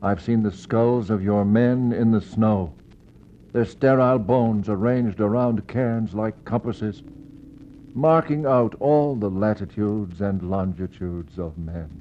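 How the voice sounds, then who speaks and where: An actor playing a brooding, mournful explorer, speaking poetic lines gravely and rhetorically in a 1960s radio verse drama. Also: male voice, lowish voice, slow, slight background noise. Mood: mournful, questioning. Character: brooding male Arctic explorer, reflective and mournful. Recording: muffled 1960s radio recording.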